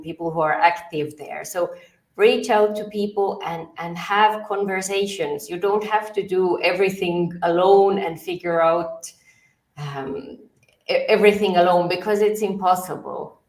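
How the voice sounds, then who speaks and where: A woman's voice: lowish voice, moderate pace, echoey room, low-quality recording. A woman hosting a live online panel, giving encouraging advice with some fillers.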